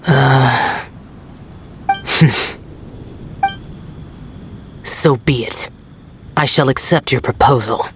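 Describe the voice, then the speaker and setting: A man voicing an aloof, brooding young immortal guardian in an anime video game, with a solemn, formal, measured delivery. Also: medium pitch, noisy background. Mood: reserved.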